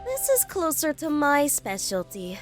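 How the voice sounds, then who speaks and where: A woman's voice: high-pitched, wildly swooping pitch, measured pace, faint music behind, full character voice. A woman playing a coolly analytical profiler in an audio drama, formal, precise and methodical as she lectures. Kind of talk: fan audio drama acting.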